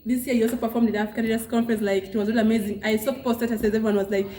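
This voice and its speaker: Young woman, Ugandan English accent, teasing lively talk, a bit high, echoey. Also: rapid-fire. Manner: lively, teasing, talks in bursts. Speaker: guest. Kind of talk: casual interview chat.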